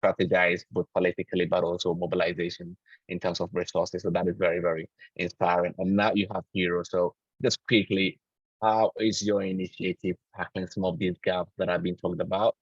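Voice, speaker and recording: A man moderates a webinar panel in a low, even voice. He phrases things in a measured, hesitant way, and the sound is muffled and roomy. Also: breathy edge. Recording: muffled, roomy online call audio.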